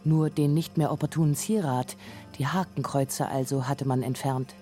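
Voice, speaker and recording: Woman, very low pitch, dark soft tone, scripted documentary narration. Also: steady tone, moderate pace, soft background music.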